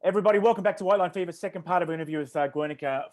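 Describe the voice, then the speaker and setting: A man's voice: high-pitched, racing, darkish tone. A man hosting an interview, asking long rambling questions full of ums and restarts. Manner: hesitant, many ums and restarts, chuckles. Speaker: interview host.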